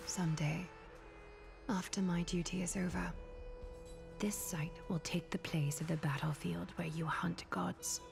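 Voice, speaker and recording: Low-pitched, solemn regal woman. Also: fairly even intonation, a little slow, creaky, over music. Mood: solemnly composed. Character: solemn, composed regal female leader with weighty duty. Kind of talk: dramatic game voice acting.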